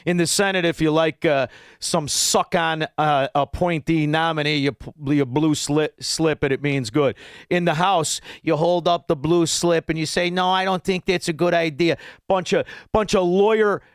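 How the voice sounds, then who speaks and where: A man's voice: a little high, fairly even intonation, normal speed, clear, resonant tone, no echo, studio quality. A man hosting a call-in radio show, ranting bluntly with insults and rambling asides. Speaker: radio talk show host. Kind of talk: combative call-in talk radio. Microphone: studio microphone.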